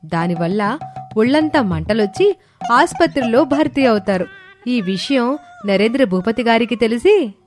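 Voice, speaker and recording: A woman's voice: fairly high pitch, expressive, unhurried. A woman storyteller giving a scripted narration of a children's moral tale. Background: faint music.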